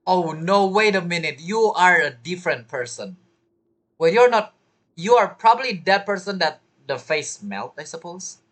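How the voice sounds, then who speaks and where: Low-voiced woman commenting over a game. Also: expressive, moderate pace. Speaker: streamer reacting to a game. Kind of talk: casual playthrough commentary.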